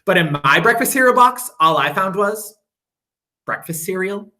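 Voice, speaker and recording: Bright-voiced man reading a storybook aloud. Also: high-pitched. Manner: expressive, drawn-out emphasis on repeated phrases. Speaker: teacher. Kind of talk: reading a children's picture book aloud.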